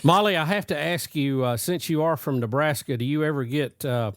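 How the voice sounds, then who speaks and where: An older man hosting a sports talk show, speaking haltingly with lots of pauses and restarts. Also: on the high side, no echo, clean studio sound. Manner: halting, many fillers and restarts. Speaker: show host.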